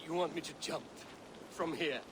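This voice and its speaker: A man's voice: fairly high pitch, normal speed, noisy background, lightly acted. A man playing a proud, blunt and wary Viking warrior in a video game, with a terse, wry delivery. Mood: wry, doubtful. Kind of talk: video game cutscene acting.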